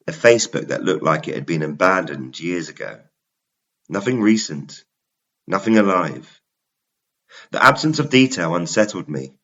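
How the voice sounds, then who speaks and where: A man with a very low voice narrates a first-person story. His delivery is measured and dramatic, like a private confession being read aloud. The recording sounds slightly dull and lo-fi. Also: a bit bright.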